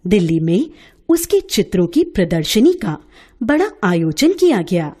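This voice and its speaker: A woman storyteller giving an expressive scripted narration of a bedtime story. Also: mid-range voice, measured pace, slightly rough.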